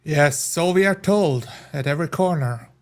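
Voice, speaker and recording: A man's voice: a little high, lively intonation, normal speed. A man playing a tabletop roleplaying game in a casual way.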